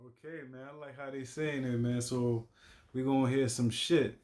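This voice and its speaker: A man's voice: mid-range voice, steady tone, moderate pace, darkish tone, soft background music. A man giving casual, slangy reaction commentary, repeating himself with stammering restarts and frequent swearing. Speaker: reaction video host.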